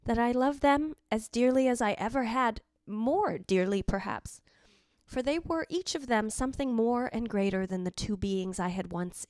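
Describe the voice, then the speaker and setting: A woman's voice: on the high side, darkish tone, no room sound, lightly acted. Woman playing a brooding ghost wife, dry sound. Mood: bitter, reflective. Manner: literary, deliberate, dramatic phrasing. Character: jealous, brooding ghost of a dead wife, narrating. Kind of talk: old-time radio drama narration.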